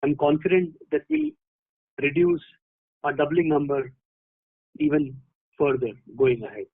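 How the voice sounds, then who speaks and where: A man with an Indian accent speaking formally and haltingly as a company executive presenting results on a conference call. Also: a little high, wildly swooping pitch, dry recording. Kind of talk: prepared earnings call remarks. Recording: narrowband conference phone line.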